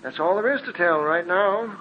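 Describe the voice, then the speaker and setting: An actor playing a calm, level-headed man in a 1940s radio drama, speaking in a measured, reassuring way. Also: sits high, noisy background, natural voice. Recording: dull old radio recording.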